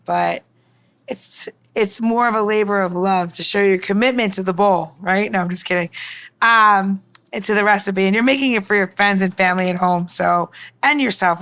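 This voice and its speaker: A woman's voice: mid-range voice, a bit bright. A woman casually talking through a cocktail recipe as co-host, chatty and rambling with playful asides. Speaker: co-host and bartender.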